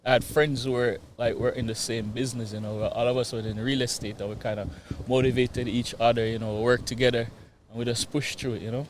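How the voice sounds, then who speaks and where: A man from Jamaica with a Jamaican accent, a guest chatting casually in an interview, relaxed and quick to laugh. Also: medium pitch, slight background noise. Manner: relaxed, laughs often, repeats "yeah" and "you know". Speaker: guest, real estate investor.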